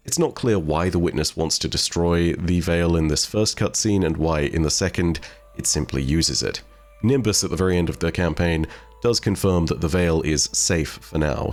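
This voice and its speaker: Low-voiced man explaining game lore. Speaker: video game lore commentator. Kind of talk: explanatory commentary for viewers. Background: faint music.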